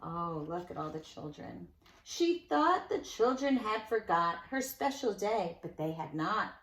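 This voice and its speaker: A woman reading a picture book aloud to young children in an expressive storytelling style, with dramatic emphasis and conversational asides. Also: mid-range voice, a little slow. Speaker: nursery school teacher reading a storybook aloud. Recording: echoey room sound.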